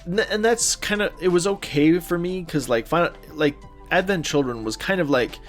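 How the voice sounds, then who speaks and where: A man with a slightly high voice chats as a podcast co-host. His delivery is informal and halting, working through his thoughts as he goes. There is faint music in the background. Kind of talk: casual chat about movies and video games.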